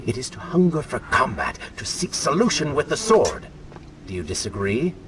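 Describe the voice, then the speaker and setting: A man playing a sardonic dark alter ego spirit in a video game, mocking and theatrical as he goads a warrior. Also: medium pitch, big pitch swings, moderate pace, a loud crowd, close to an everyday voice. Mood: goading. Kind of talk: dramatic video game voice acting.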